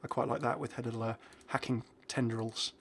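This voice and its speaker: Man, mid-pitched, casual gaming commentary, chatty, thinking out loud. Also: flat delivery, brisk, creaky, a little hiss or hum. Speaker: gaming video host. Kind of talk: casual game update commentary.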